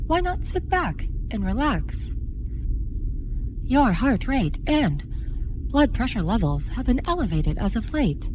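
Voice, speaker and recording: Casting: actress as female ship's AI for sci-fi horror audio. Highish, very melodic delivery; measured, courteous, soothing, subtly possessive. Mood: reassuring, controlling. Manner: measured, formal, precisely worded, soothing. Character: polite female spaceship AI, devoted, quietly possessive. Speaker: ship's AI assistant. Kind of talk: sci-fi horror audio roleplay, addressed to listener. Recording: clearly audible background noise.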